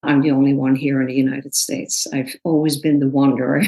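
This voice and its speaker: A woman in her sixties from the Netherlands, a guest talking thoughtfully and warmly in a podcast interview, with easy laughs. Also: extremely low pitch, little pitch movement, poor audio. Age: 60s. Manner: thoughtful, measured, laughs easily. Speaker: guest, personal trainer. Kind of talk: casual interview talk.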